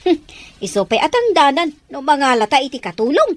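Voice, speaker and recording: A woman's voice: high voice, very animated, a little fast. A woman acting a bossy, scheming, possessive female character in an Ilocano audio drama, whiny and insistent. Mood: scheming, irritated.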